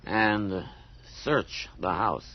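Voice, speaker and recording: An actor playing an official, probing police officer of a town night watch in a radio drama. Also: male voice, fairly low pitch, slow pace. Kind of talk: 1980s radio drama acting. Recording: dull, lightly noisy old radio recording.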